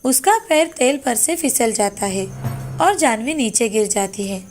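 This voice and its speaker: A woman's voice: a little high, animated, unhurried. A woman narrator reading a scripted moral fairy tale as storytelling. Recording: background music under the voice.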